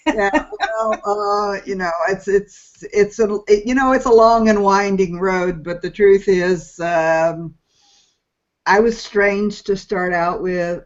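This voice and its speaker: Chatty self-mocking woman host. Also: on the low side. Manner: chatty, self-deprecating storyteller. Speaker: podcast host. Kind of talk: casual interview chat. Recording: dull lo-fi sound.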